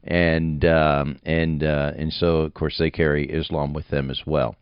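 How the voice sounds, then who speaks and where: A man's voice: extremely low pitch, flat delivery, a little slow, clear, resonant tone, dry recording. A man hosting a religious radio talk show, speaking in a rambling, folksy way with many fillers. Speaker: radio host. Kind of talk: radio interview. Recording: dull, dry studio sound.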